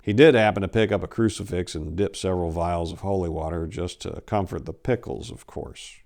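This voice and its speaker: A man in his forties giving a theatrical, expressive dramatic reading of a scary short story. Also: sits low, fairly quick. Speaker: story reader. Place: indoors, book-lined room. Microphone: lapel.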